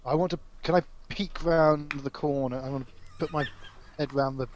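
A man's voice: a little high, steady tone, moderate pace, slight background noise. A man taking his turn as a player in a tabletop game, hesitant and backpedaling. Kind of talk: playing a character in a tabletop game.

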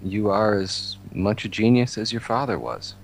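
A man's voice: fairly low pitch, big pitch swings, moderate pace, creaky, exaggerated voice. A man acting a courtly, loving undead patchwork man in an amateur radio play, speaking in a formal, theatrical way. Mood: tender, courtly. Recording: music behind the voice.

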